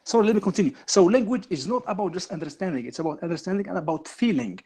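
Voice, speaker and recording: Rapid, gravelly Arabic-speaking man pleading. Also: high voice, animated, darkish tone. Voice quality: hesitant with many fillers. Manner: pleading, keeps asking to continue. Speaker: debate guest. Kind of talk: heated online panel debate.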